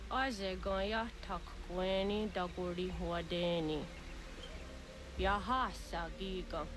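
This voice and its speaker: A woman's voice: on the low side, fairly even intonation, takes it slowly, background noise. An actress playing a solemn woman in a historical adventure video game. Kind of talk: dramatic video game voice acting. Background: music.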